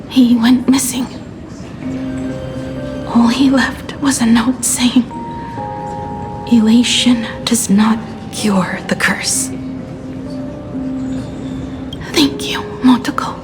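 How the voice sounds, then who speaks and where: An actress playing a frail young woman engineer in a game drama, soft and breathy, with a wistful, weary manner. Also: fairly high pitch. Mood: resigned, sorrowful. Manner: slow, sighing, wistful.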